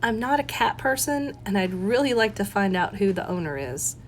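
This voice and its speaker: A woman's voice: mid-range voice, big pitch swings, normal speed, a little hiss or hum, natural voice. A woman voicing an earnest, persistent and polite widow playing amateur sleuth in an audiobook, with a lilting, emphatic delivery. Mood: polite, shy. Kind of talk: audiobook character voice.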